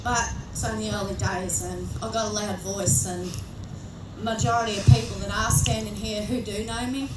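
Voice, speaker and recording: A middle-aged Australian woman giving an emotional, plain-spoken speech at an outdoor rally, halting now and then with fillers. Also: medium pitch, fairly even intonation. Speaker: grieving mother speaking at a rally. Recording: distant echoey outdoor PA recording, loud ambient noise.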